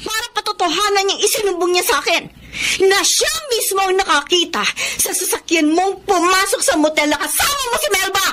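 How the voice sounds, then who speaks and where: A woman's voice: very high-pitched, lively intonation, a little fast, bright, forceful tone, a little hiss or hum, full character voice. A woman playing a betrayed, wounded wife accusing her husband in a Filipino radio drama, with a Filipino accent and melodramatic, tearful delivery. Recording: dramatic music bed behind the voice.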